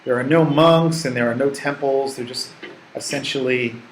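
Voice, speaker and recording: A man in his forties, from the United States, answering interview questions in a relaxed, reflective way, with fillers and restarts. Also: medium pitch, moderate pace, reverberant, slight background noise, low-quality recording. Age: 40s. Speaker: English teaching assistant.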